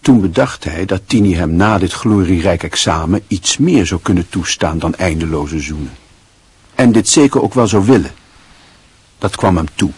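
An actor playing a dry, wry literary narrator in a radio play, with a husky voice. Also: male voice, low voice, animated, moderate pace, darkish tone, slight background noise. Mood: wry, reflective.

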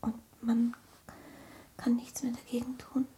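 An actress playing a troubled, quietly desperate English wife in a German radio drama, with hushed, searching questions. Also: female voice, fairly high pitch, completely flat delivery, a little slow, a little hiss or hum, natural voice. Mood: frail, fearful.

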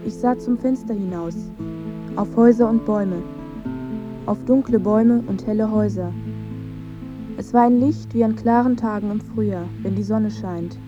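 A woman's voice: medium pitch, moderate pace, muffled recording, music in the background, natural voice. An actress playing a dreamy, wistful schoolgirl narrating her daydream lyrically in a radio play.

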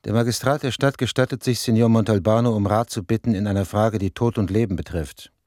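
An actor playing a formal, respectful town clerk in a radio crime play, delivering reports in a measured official manner. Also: male voice, fairly low pitch, fairly even intonation, a little mellow, lightly acted. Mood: officious.

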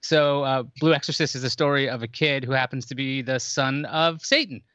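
A man's voice: mid-range voice, brisk, a bit bright, no echo. A man co-hosting a podcast, chatting casually and recommending a show with enthusiasm. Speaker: podcast co-host. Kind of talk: casual chat. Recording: dull lo-fi dry sound.

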